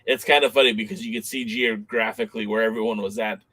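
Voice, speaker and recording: Low-voiced man on a dry podcast mic. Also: fairly even intonation, quick pace, pushed and bright, no room sound. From Southern California. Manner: rambling, filler-heavy recollection. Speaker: podcast co-host. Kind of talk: casual podcast chat.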